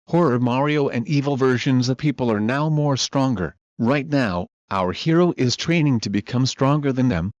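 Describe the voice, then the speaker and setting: A man's voice: medium pitch, no echo. A man reading a scripted emergency broadcast announcement as an alert announcer. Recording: dull lo-fi alert audio.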